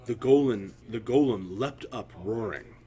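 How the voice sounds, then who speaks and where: Lowish guy reading a fantasy story out loud, really dramatic and solemn, lots of pauses. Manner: solemn, theatrical, deliberate phrasing with dramatic pauses.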